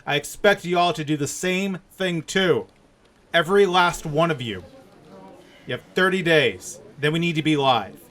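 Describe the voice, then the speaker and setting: Curt, exasperated male office boss , played by a man, on the higher side, demanding and stern, radio-play style. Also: normal speed, a bit bright, natural voice. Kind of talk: audio drama acting.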